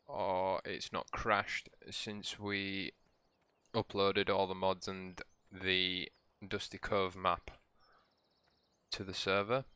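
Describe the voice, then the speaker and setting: A man with a croaky, hoarse voice, giving relaxed, rambling commentary as he plays a farming game for his channel. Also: low-pitched, slow. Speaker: let's play host. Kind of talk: casual gameplay commentary.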